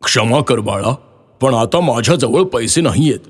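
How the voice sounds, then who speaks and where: A man voicing a kind, selfless old tree in a children's story, speaking gently and pleadingly. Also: mid-range voice, animated, normal speed, breathy edge. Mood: apologetic, generous. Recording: music behind the voice.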